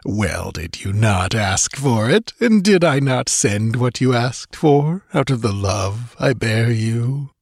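A man's voice: medium pitch, sing-song, slow pace, vocal fry, hardly any pauses, husky, no room sound, clean studio sound, heavily acted. A man voicing a cruel, lecherous sorcerer-priest in an audiobook story, sardonic and purring with false courtesy. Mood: taunting. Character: cruel, mocking, smugly lecherous male sorcerer-priest villain.

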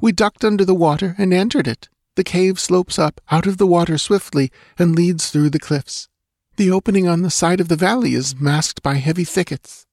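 A man's voice: high voice, moderate pace, darkish tone, no echo, studio quality, pitched above the performer's own voice. A man voicing a terrified young dancing girl in an audiobook, with a whimpering, pleading, breath-catching delivery. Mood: anxious explaining. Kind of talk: dramatised audiobook character voice.

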